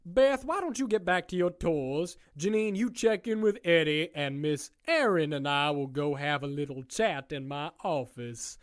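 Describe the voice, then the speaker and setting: A sinister warden of a prison circus, played by an actor, speaking at a middling pitch with a theatrical drawl. The delivery is glib and folksy, full of smug mock politeness, in the style of a scripted audio drama. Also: animated, normal speed, lightly acted. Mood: mock-polite.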